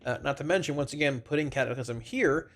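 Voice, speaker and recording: A man with a congested, slightly raspy voice from a cold, giving casual, rambling, explanatory commentary on a video game to his viewers. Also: medium pitch, a little fast, fairly bright tone. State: congested, under the weather with a cold. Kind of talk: informal gaming feedback commentary to viewers. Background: background music.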